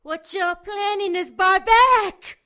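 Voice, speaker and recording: A woman's voice: very high voice, takes it slowly, sharp tone, full character voice. A woman playing a defiant, protective succubus mother in an audio drama, impassioned and emphatic.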